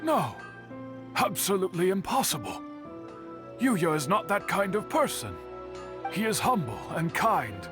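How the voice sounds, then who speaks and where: Courteous, worried swordsmith, higher-pitched. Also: male voice, huge pitch swings, exaggerated voice. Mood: shocked, protesting. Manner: measured, formal, earnest. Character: courteous, formal older swordsmith uncle, worried and dignified. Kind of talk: video game voice acting. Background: background music.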